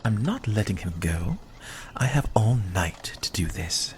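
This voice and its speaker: A man's voice: lowish voice, big pitch swings, measured pace, a little mellow, a little hiss or hum. A man playing a possessive, dry-witted vampire in an ASMR roleplay, with a sardonic, commanding manner. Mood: possessive, defiant. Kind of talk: ASMR roleplay audio drama.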